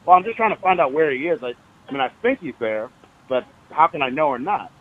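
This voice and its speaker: A man's voice: a little high, animated, fast talker, a little hiss or hum. An American man speaking as a caller on a phone, in a hesitant, wordy but insistent way. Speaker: caller, citizen activist. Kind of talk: recorded phone conversation. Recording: dull lo-fi phone call recording.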